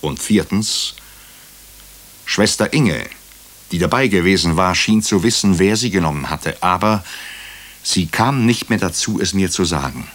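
An actor playing a brooding hospital doctor who narrates a radio crime drama in clipped, deliberate phrases. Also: male voice, deep voice, animated, fairly bright tone, exaggerated voice. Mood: grave, measured. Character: brooding male hospital doctor recounting a murder case. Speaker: actor narrating as the lead character.

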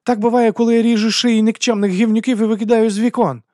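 A man voicing a vengeful, defiant mercenary woman in an audiobook, shouting in a strained, bitter way. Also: high-pitched, animated, fast talker, dry recording, studio quality, pitched above the performer's own voice. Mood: defiant fury. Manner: shouting, strained, near screeching.

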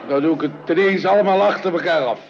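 A man's voice: fairly high pitch, fast talker, over a noisy crowd, natural voice. An actor playing a grumpy, blustering middle-aged father in a Dutch radio play. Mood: flustered. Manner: blustering, exclamatory. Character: grumpy, theatrical father of the household, easily exasperated. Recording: muffled, noisy old radio recording.

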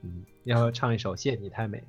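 A man's voice: fairly low pitch, racing. A man joking around casually as a guest voice actor. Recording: slightly dull audio with music.

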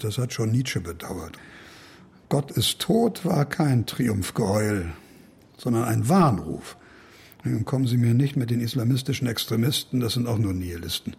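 Cynical middle-aged professor, raspy and creaky, pompous, impatient, declamatory, radio drama. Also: male voice, mid-range voice, a little mellow, full character voice. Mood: lecturing. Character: cantankerous, cynical male professor pontificating.

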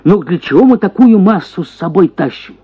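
An actor voicing a henpecked prosecutor, a self-pitying husband who grumbles and exclaims theatrically in a radio story. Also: male voice, sits high, a little fast, slightly rough, lo-fi sound, pitched above the performer's own voice. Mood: exasperated complaining. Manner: plaintive grumbling that bursts into excited exclamations.

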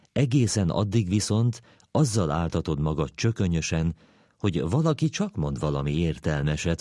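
A man reads an essay aloud with measured care. His voice is very deep and dark, recorded dry and close, suited to wry philosophical prose. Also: dry recording. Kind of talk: dramatic reading of a humorous philosophical essay.

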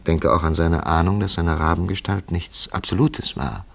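An actor in a German radio play playing a grave, thoughtful man who speaks in a measured, philosophical way with a gravelly voice. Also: low-pitched. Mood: solemn, contemplative. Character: grave, thoughtful man pondering a supernatural mystery.

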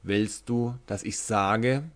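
A man voicing a staring young man who recites a ballad in a half-sung monotone in a German audiobook. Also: lowish voice, takes it slowly. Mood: eerie.

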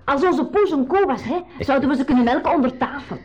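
Casting: cheeky Flemish girl, high voice, mocking and plucky, 1980s radio adventure. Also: expressive, fast talker. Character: plucky, teasing young girl, sister of the timid boy. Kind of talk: 1980s school radio drama acting. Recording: dull 1980s radio recording.

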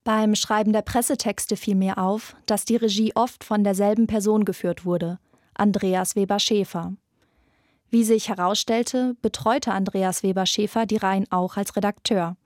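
A woman's voice: medium pitch, steady tone, moderate pace, a little mellow, no room sound, clean studio sound. A young woman in her twenties presenting a scripted podcast narration. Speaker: presenter, radio drama intern.

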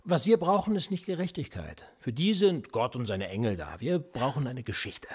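A man's voice: medium pitch, moderate pace, breathy edge. An actor playing a cool, cynical male police interrogator in a radio drama, smug and needling. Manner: smug, needling, lively intonation.

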